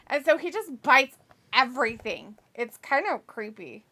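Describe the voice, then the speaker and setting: A woman's voice: high-pitched, very animated, a little slow, pushed and bright. A woman co-hosting a wrestling watch-along, chatting casually, reading viewer comments aloud and often breaking into laughter.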